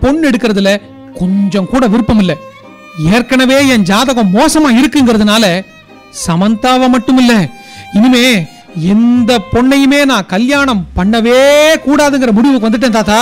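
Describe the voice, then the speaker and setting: A man's voice: extremely high pitch, moderate pace, soft background music. An actor playing a melodramatic, lovelorn young man in a radio drama, pleading and repeating his words. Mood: indignant.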